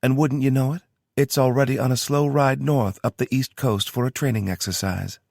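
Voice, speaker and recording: A man voicing a brisk woman handling logistics, speaking with a twang. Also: mid-range voice, steady tone, creaky, a little mellow, dry recording, studio quality, pitched above the performer's own voice.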